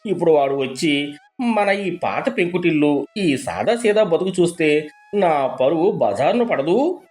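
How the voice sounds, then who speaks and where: A man playing a blustering, animated middle-aged village boaster. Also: a little high, measured pace. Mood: anxious. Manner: blustering, pompous. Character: boastful, status-anxious middle-aged village husband and father. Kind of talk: animated moral story dialogue.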